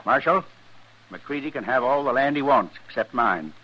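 Husky, stubborn homesteader. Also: male voice, mid-range voice, expressive, normal speed. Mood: defiant. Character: stubborn, wronged frontier homesteader, gruff and defiant. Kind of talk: old-time radio western acting. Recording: dull old radio recording.

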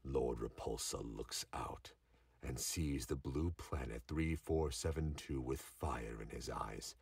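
A man's voice: rumbling low, takes it slowly, gravelly, a little mellow, husky, no room sound. A man narrating a scripted audio drama, recorded at some distance from the microphone with noise behind him. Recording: distant mic with background noise.

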